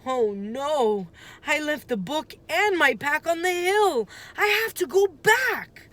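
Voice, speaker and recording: A woman voicing an anxious, excitable young boy in a children's storybook, with urgent exclamations. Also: sits high, slow, pitched above the performer's own voice, exaggerated voice. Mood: distressed, insistent.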